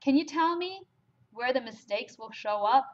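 Woman teaching a math class, kinda high voice, emphatic, dull lo-fi classroom recording. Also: normal speed. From Germany. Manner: lively, emphatic, rhetorical questions. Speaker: math lecturer. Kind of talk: classroom lecture. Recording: lo-fi lecture capture.

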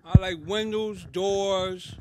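A man's voice: high voice, fairly even intonation, slow pace, dark tone. A man in his forties speaking casually and boastfully into a handheld mic. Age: 40s. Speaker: inmate speaker. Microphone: handheld microphone.

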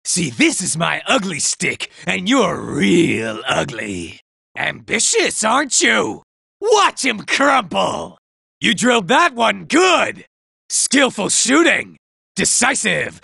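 A man's voice: high-pitched, creaky, bright, forceful tone, breathy edge, no echo, exaggerated voice. An actor playing a vain, boastful male robot soldier who taunts enemies and admires his own looks, in a video game. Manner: smug, self-admiring, taunting. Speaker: game character. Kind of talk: video game combat barks. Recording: dry studio recording.

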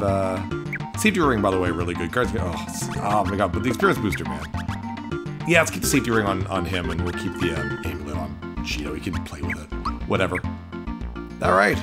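A man giving casual, chatty playthrough commentary on a video game in a playful way. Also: low voice. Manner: chatty, playful, reads game text aloud in exaggerated tones. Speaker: gaming video host. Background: video game music.

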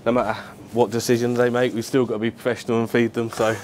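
Over clear background noise, a man in his thirties talks at a middling pitch. He is a chef explaining his job in an informal, matter-of-fact way, as if chatting casually to camera. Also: little pitch movement, quick pace, darkish tone. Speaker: head chef at a football club. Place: indoors, club kitchen and dressing room.

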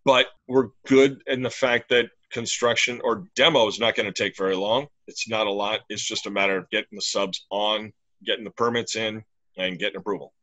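A man's voice: lowish voice, fairly even intonation, fairly quick, fairly bright tone, poor audio. A middle-aged man, a brewery owner, talking casually and in plain detail about his plans over a video call from a small room. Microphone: webcam on a video call.

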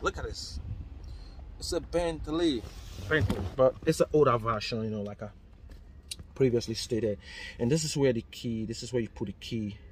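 A man's voice: mid-range voice, normal speed. Guy in his 30s showing off cars on his phone outside, hyped and chatty, noisy lot behind. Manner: excited, chatty, exclaiming over cars. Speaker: vlogger touring a car auction lot. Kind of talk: casual selfie vlog. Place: outdoor car auction lot and inside parked cars. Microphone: phone camera at arm's length. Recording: outdoor phone audio with background noise.

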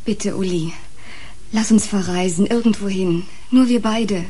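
A woman's voice: medium pitch, unhurried, dark tone, a little hiss or hum, lightly acted. An actress playing an earnest, eager young woman in a German radio crime drama. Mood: anxious, pleading.

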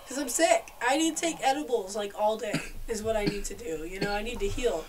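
A woman's voice: mid-range voice, a little fast, noisy background. A young woman, sick and stuffed up, co-hosting a casual podcast chat, talking freely and laughing often. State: congested, sick. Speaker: podcast co-host.

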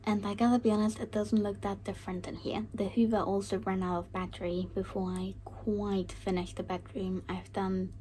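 Croaky-voiced young woman chatting warmly. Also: mid-range voice, little pitch movement, moderate pace, few pauses. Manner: chatty, self-deprecating, laughs often. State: slightly croaky from recent illness. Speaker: vlogger. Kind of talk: casual self-care vlog chat. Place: small bedroom at home.

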